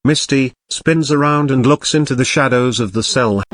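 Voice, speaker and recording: A man's voice: medium pitch, normal speed, background noise. A man reading scripted narration for an audio drama.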